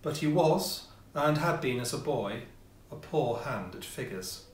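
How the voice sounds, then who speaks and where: A man reading a classic ghost story as scripted narration, with measured, theatrical phrasing and pauses. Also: medium pitch, lively intonation. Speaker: audiobook reader, amateur player. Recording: roomy echo, distant mic, faint noise.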